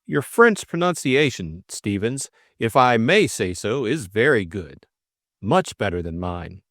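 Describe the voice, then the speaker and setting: Man acting a breezy young gentleman, very animated pitch. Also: a little slow, no room sound, clean studio sound, exaggerated voice. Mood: gracious, amused. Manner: breezy, hesitant, mildly bemused. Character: flippant, drowsy young English gentleman of leisure. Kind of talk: audiobook character acting.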